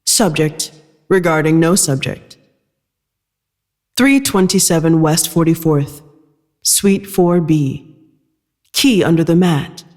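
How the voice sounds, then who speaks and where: A narrator reading scripted audio fiction in a measured storytelling style. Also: medium pitch, darkish tone, in a large hall.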